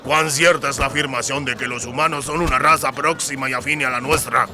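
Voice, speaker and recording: A man voicing gruff, sardonic dwarf soldiers in a fantasy audiobook, blunt and given to swearing. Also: medium pitch, fairly even intonation, brisk, over music, natural voice. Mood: mocking. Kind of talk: dramatised fantasy audiobook dialogue.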